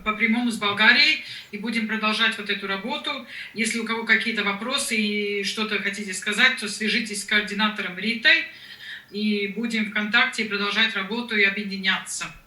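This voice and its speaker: A woman's voice: mid-range voice, fairly even intonation, quick pace, pushed and bright. A woman whose first language is Latvian, interpreting live on a video call, hesitant and full of fillers. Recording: echoey distant video call audio.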